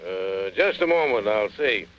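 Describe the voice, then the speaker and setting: An actor playing a businesslike police desk sergeant in a 1940s radio thriller. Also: male voice, lowish voice, lively intonation, unhurried. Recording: muffled, noisy old radio recording.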